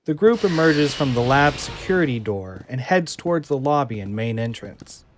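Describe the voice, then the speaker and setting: A man's voice: medium pitch, animated, moderate pace, background noise. A man reading scripted stage directions as the narrator of an audio drama. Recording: music under the voice. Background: music.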